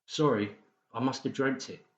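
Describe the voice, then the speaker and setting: A man's voice: mid-range voice, moderate pace, reverberant, highs cut off, lightly acted. An actor playing a troubled, sheepish male psychiatric patient in a horror audiobook, hesitant and pleading. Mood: defensive, placating. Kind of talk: dramatised horror audiobook dialogue.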